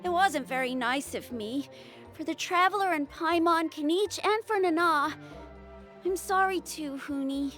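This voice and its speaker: A woman playing an excitable, proud young child who is eager to impress, a childlike video game character. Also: very high voice, measured pace, soft background music. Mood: apologetic.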